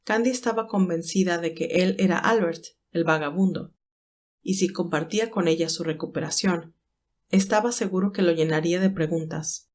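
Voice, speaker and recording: A woman's voice: on the low side, normal speed, a little mellow. A woman reading scripted, dramatic narration of a romantic story as its narrator.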